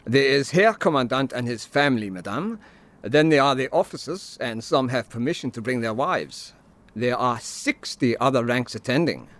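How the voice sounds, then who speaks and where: A man's voice: medium pitch, lively intonation, moderate pace, fairly bright tone, close to an everyday voice. Man playing a clipped, stern lieutenant. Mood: formal, deferential. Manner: formal, clipped. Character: stern, formal German army lieutenant, male. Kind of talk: audio drama acting.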